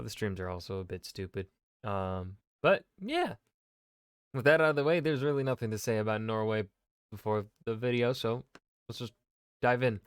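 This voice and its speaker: Male reaction host, offhand casual delivery, smooth tone, dry. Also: fairly low pitch, no room sound. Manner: relaxed, offhand. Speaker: history reaction channel host.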